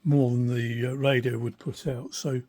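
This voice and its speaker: A man's voice: mid-range voice, little pitch movement, moderate pace, a little mellow. An older man giving an informal, unscripted demonstration as a hobbyist, in a hesitant, thoughtful manner. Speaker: hobbyist radio restorer. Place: small cluttered room indoors. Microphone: handheld phone behind the camera.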